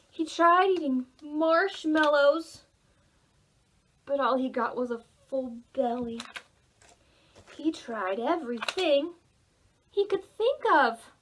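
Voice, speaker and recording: A woman children's librarian doing an animated read-aloud for preschoolers, expressive, with dramatic pauses and sing-song emphasis. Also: high-pitched. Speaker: children's librarian, storytime host.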